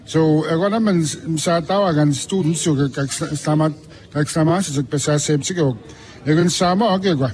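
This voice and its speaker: A man whose first language is Cree, being interviewed as an author, speaking haltingly with many restarts. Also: fairly high pitch, a little mellow. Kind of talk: casual interview talk.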